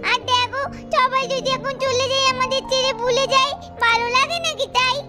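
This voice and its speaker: A very high, childlike cartoon girl, earnest and chatty with a plaintive edge. She speaks over prominent music. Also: flat delivery, a little fast. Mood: worried, sad. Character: earnest little doll girl, worried and pleading.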